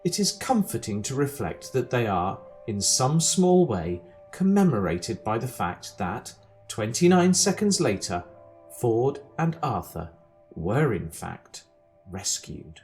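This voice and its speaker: A man playing the wry, deliberate, knowing narrator of a space guide in a scripted comic audio drama. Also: medium pitch, sing-song, a little mellow, soft background music.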